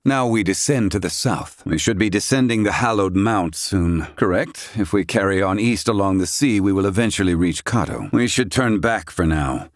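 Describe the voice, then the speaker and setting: An actor playing a proud, curt, bookish scholar-healer in a fantasy video game, speaking in formal, archaic, exacting phrases. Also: male voice, deep voice, normal speed, few pauses, no echo, studio quality, natural voice. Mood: brisk, stern. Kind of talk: video game character dialogue.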